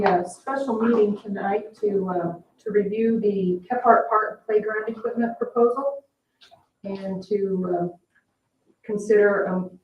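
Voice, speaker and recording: An American woman runs a formal meeting as its chair. Her voice is mellow and of medium pitch. It carries through an echoey room, sounding dull with faint noise behind it. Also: distant mic. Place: indoor municipal meeting room.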